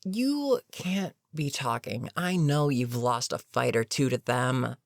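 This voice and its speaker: A voice actor playing an older, experienced villain in an audio roleplay, weary and dryly sarcastic but reluctantly protective, with a wry, deliberate delivery. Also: mid-range voice, expressive, creaky, no echo, clean studio sound. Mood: exasperated, wry. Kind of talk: intimate audio roleplay monologue.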